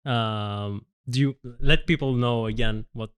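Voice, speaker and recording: A man's voice: mid-range voice, unhurried, clean tone. Easygoing male podcast host. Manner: easygoing, laughs at own mistakes, short backchannels. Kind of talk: casual interview chat.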